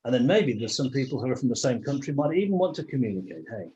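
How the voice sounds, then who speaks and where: A man's voice: medium pitch, sing-song, fast, mellow. A man hosting an online meeting, chatting casually to greet everyone as they arrive, often restarting his sentences. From England. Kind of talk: casual welcoming chat. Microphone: video call. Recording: muffled video call audio.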